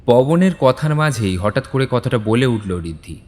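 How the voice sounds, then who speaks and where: A man reads scripted detective-story narration. His medium-pitched voice carries a long hall-like reverb. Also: lively intonation, moderate pace, a little mellow.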